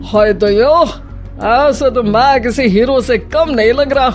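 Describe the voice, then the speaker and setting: Woman playing a slow-talking, flirtatious retired husband. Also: on the high side. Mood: eager, curious. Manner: playful, colloquial, exclamatory. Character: flirtatious retired husband of fifty, vain and evasive. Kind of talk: dramatized Hindi moral story. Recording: music behind the voice. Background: music.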